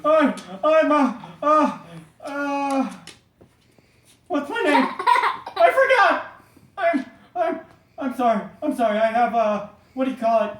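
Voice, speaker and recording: An excitable, silly dragon puppet, swinging widely in pitch with slow, dramatic delivery. The childlike, stammering puppet-show voice comes from off the mic in an echoey room with faint noise. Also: female voice, recorded from a distance. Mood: flustered.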